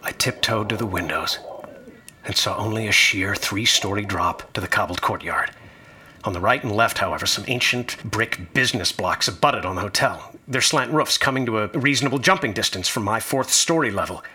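An actor playing an anxious, bookish traveler, narrating his ordeal in a radio drama with formal, uneasy wording and a husky voice. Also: lowish voice, normal speed, sharp tone, exaggerated voice. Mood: tense, fearful. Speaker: narrator and lead character. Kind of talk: scripted first-person radio drama narration.

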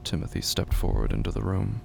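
A man narrating a scripted horror story in short, measured phrases with hushed suspense. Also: level tone, gravelly. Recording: noisy track with low rumble.

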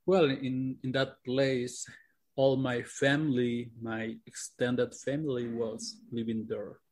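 A man's voice: mid-range voice, flat delivery, slow, a little mellow. Mexican man chatting with repeats and restarts. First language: Spanish. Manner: searching for words, repeats himself. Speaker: co-host. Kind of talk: casual podcast chat.

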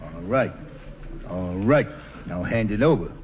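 An actor playing a brash, wisecracking ex-convict bootlegger boss in slangy 1940s radio drama style. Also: male voice, deep voice, animated, a little slow. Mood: commanding, mocking. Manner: slangy, wisecracking tough-guy talk. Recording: dull, noisy vintage radio recording.

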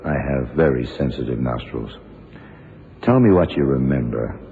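Very deep-voiced hard-boiled detective. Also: male voice, normal speed, creaky, full character voice. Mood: hard, dry. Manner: terse, deadpan. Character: tough, laconic blind private detective. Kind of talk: hard-boiled radio drama acting. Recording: tinny, hissy 1970s radio recording.

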